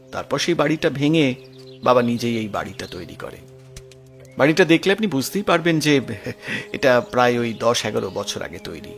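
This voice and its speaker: An actor playing a grieving, lonely grown son in an audio detective drama, speaking earnestly and with emotion. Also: male voice, mid-range voice. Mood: sombre recollection. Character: grieving, lonely grown son recounting his murdered parents' past.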